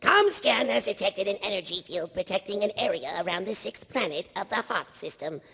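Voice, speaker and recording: Anxious cartoon underling officer, sharp, gravelly voice, exclaiming and fussing. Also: female voice, mid-range voice, animated, a little fast, exaggerated voice. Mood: fretful. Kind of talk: comic live script reading. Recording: echoey convention hall.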